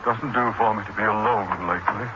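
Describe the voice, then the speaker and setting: A man's voice: on the low side, steady tone, a little fast, natural voice. An actor plays a pompous middle-aged man, blustery and easily exasperated. It is 1940s radio comedy acting, heard through heavy noise on a dull recording. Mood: rattled, pompous.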